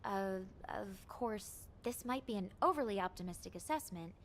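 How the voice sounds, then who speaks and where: A woman's voice: mid-range voice, lively intonation, normal speed, a little hiss or hum, close to an everyday voice. A woman playing a stammering, nervous officer. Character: anxious female executive officer.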